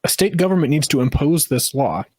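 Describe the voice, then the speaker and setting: A man's voice: a little high, sing-song, brisk, darkish tone, no room sound, clean studio sound. A man co-hosting a casual podcast about gun laws, rambling with many restarts and fillers. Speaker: podcast co-host. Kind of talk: casual political chat.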